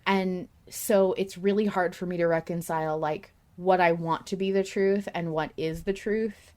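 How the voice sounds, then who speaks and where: A woman hosting a casual podcast discussion, thinking out loud at length with some emphasis. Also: lowish voice, fairly even intonation, normal speed. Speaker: podcast host.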